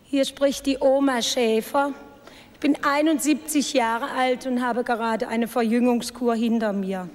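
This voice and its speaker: Female contestant, high voice, a bit off-mic, studio reverb. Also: fairly even intonation, unhurried. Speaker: game show contestant. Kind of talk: mock-serious introduction.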